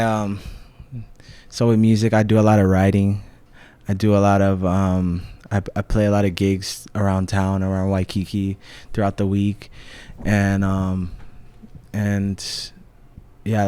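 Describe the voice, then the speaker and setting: A man's voice: on the low side, little pitch movement, a little slow, darkish tone, clean tone. A young man from Hawaii, a musician being interviewed, talking in a laid-back way with many fillers and restarts. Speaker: guest musician. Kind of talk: casual interview talk.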